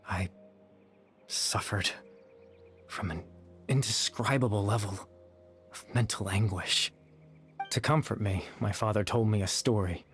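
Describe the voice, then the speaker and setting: A man playing a gentle, sorrowful young man in a video game, speaking in a soft, measured, confessional way. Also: on the low side, natural voice. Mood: pained. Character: gentle, sorrowful young man, a fading remnant consciousness at peace. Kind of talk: scripted video game voice acting. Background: background music.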